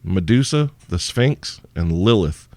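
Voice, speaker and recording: Low-voiced, sleepy, profane male podcaster. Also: animated, a little slow, a little mellow, no room sound. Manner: profane, irreverent, rambling. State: sleepy, tired. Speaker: podcast host. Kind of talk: crude solo Q&A podcast.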